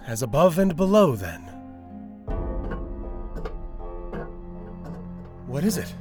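An actor playing a cynical, sardonic survivor who works as a tunnel guide in a science fiction audio drama. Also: male voice, on the high side, brisk. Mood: pushy. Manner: sardonic, animated. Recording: music under the voice. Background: music.

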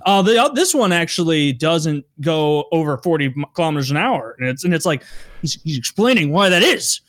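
Slightly high man, laughing chatter. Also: big pitch swings, fairly bright tone. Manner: laughs often, quick rambling explanations. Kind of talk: casual chat.

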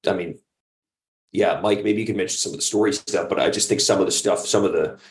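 Very deep-voiced man, echoey room. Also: fast talker. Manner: rambling, enthusiastic, peppered with "like" and "you know". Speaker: audio drama creator and producer, guest. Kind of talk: casual interview chat.

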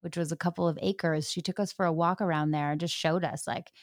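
A woman's voice: sits low, steady tone, a little fast, no echo. Enthusiastic woman host, dry sound. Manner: enthusiastic, warm storytelling with fillers. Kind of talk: casual interview chat.

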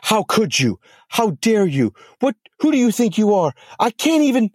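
A man voicing a vain, haughty female demon with a shrill, indignant manner. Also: very animated, normal speed, a little mellow, no echo, clean studio sound. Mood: furious.

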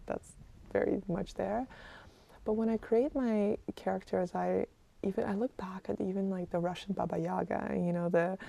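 A woman's voice: fairly low pitch, creaky, mellow. A woman chatting casually as an interview guest. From Kazakhstan, moved to Canada aged ten.

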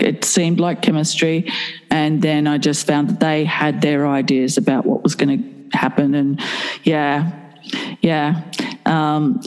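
A woman's voice: sits low, a little mellow. Blunt woman in her fifties, reverberant sound. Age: 50s. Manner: blunt, frank, swears casually. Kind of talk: candid conversational interview.

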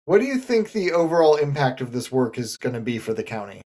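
A woman's voice: a bass voice, sing-song, fast talker, mellow. A woman host moderating a scripted comic radio talk show.

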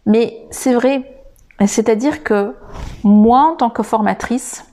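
A woman hosting a podcast lesson, talking to the listener informally and pausing often with filler sounds. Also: on the high side, warm tone. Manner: hesitant, many filler sounds and restarts; addresses the listener directly and informally. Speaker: English teacher and podcast host.

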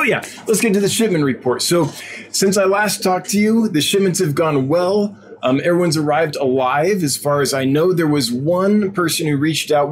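A man hosting a weekly livestream, chatting in a relaxed, rambling way with many ums. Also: medium pitch, a little hiss or hum. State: stuffy nose, sniffling. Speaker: host of a weekly fish-selling livestream. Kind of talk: casual livestream chat.

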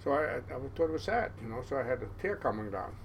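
A man in his seventies with a Norwegian accent, telling stories as an interview guest in a halting way, with many restarts. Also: mid-range voice, big pitch swings, a little hiss or hum. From Norway. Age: 70s. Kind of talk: casual storytelling interview.

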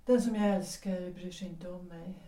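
An actress voicing Gertrud, a tired wife leaving her husband, speaking quietly and with resignation in an audio drama. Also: fairly low pitch, fairly even intonation, distant mic, close to an everyday voice. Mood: weary resolve. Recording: roomy, off-mic.

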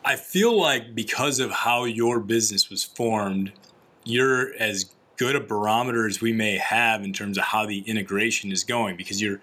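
A man's voice: fairly low pitch, sharp tone. A man hosting a corporate podcast, asking conversational, leading questions. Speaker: podcast host.

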